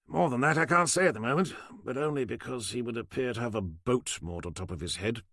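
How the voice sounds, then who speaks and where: A man voicing a sarcastic male police doctor who talks in a slow, dry drawl. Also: mid-range voice, fairly bright tone, dry recording, pitched above the performer's own voice. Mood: sardonic.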